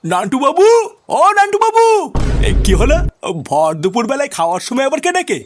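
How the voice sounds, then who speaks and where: A man's voice: very high voice, wildly swooping pitch, a little fast, music in the background, heavily acted. A man voicing a grumbling, querulous village grandfather in a comic cartoon dub. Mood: flustered. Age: older.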